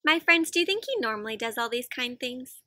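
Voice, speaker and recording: A young adult woman doing an expressive, interactive picture-book read-aloud for children, asking lots of questions. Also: fairly high pitch, brisk, no echo, studio quality. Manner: expressive, asks frequent questions, gasps. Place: outdoors in a fenced backyard.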